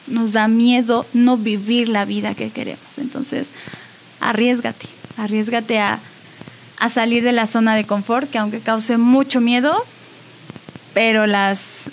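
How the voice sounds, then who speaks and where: Lady radio host, kinda high voice, earnest n encouraging, bit giggly, faint hiss. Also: level tone, a little slow, low-quality recording. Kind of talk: warm conversational radio sign-off.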